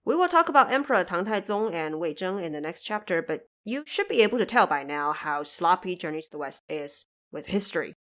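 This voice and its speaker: A woman's voice: on the low side, very animated, a little fast, hardly any pauses, fairly bright tone. A woman hosting a podcast in scripted explanatory narration, articulate and pointedly emphatic. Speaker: podcast host.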